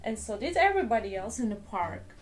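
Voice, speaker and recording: A woman reading a children's story aloud in a deliberate, expressive storytelling manner. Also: on the high side, soft background music. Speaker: children's storybook reader, English teacher. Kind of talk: slow expressive read-aloud for young children.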